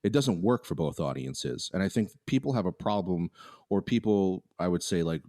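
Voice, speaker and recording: A man's voice: deep voice, expressive, moderate pace, warm tone. A man co-hosting a podcast, talking in a casual, opinionated way with many restarts. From United States. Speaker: podcast co-host.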